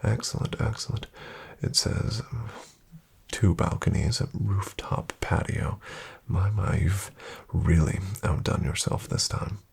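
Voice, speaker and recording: A man playing a suave, flattering financial advisor in a soft-spoken ASMR roleplay, hushed, courteous and unhurried, often near a whisper. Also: sits low, creaky, breathy edge, full character voice. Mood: impressed, flattering. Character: suave, flattering male financial advisor to wealthy elite clients.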